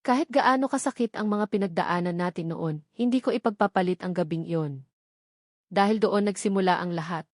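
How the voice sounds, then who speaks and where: A woman's voice: on the low side, moderate pace, darkish tone, no echo, studio quality, lightly acted. Woman reading as tender, devoted Filipino prince. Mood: tender, earnest. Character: devoted young prince, tender husband and father.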